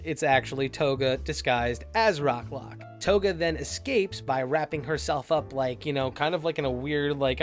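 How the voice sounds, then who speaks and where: Higher-voiced man recapping anime over music. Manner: chatty, informal, lightly sweary. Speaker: YouTube anime reviewer. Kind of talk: casual episode recap commentary.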